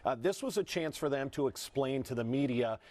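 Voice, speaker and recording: A man's voice: medium pitch, lively intonation, normal speed, a little mellow. An American man reports for TV news in a mid-range voice. He explains the story point by point in a live-report style.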